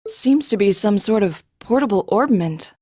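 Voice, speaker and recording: A woman's voice: mid-range voice, animated, moderate pace, warm tone, slightly rough, no echo, clean studio sound. Composed, dignified teenage girl. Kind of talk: English video game voice acting.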